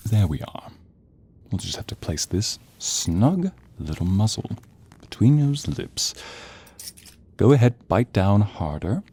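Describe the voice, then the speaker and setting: A man playing a sadistic, gloating monster hunter in an audio role play, with slow menace, breathy whispers and sharp commands. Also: fairly low pitch, very animated, exaggerated voice. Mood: taunting, gloating. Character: sadistic male monster hunter, mad, theatrical, gloating villain.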